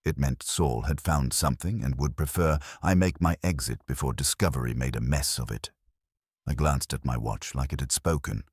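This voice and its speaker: A man's voice: extremely low pitch, moderate pace, breathy edge, no room sound, clean studio sound. A man giving a scripted first-person narration of a detective story. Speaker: audiobook narrator.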